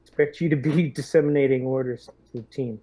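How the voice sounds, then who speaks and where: A man's voice: mid-range voice, steady tone, a little fast, dark tone. A man playing a tabletop game, casually speaking in character as a military lieutenant. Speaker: player in a tabletop roleplaying game.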